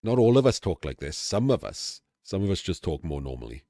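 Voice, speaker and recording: A middle-aged Welsh man with a Welsh accent, talking candidly and casually as a streamer, with fillers and the odd throat clearing. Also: sits low, big pitch swings, brisk, warm tone, dry recording. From Wales. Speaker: streamer and channel host. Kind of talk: casual talk to camera-less audience.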